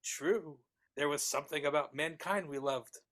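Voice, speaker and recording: Doom-laden male ghost woman performer, low register, ominous theatrical delivery for a live reading. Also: normal speed. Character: gloating, doom-laden ghost of a greedy dead male moneylender.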